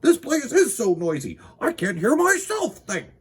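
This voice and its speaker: A fussy storybook clockmaker, acted out by a man, pitched well above a normal talking voice. He is easily flustered and exclaims in a plaintive, dramatic, swooping way. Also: wildly swooping pitch, measured pace, breathy edge, heavily acted. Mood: exasperated.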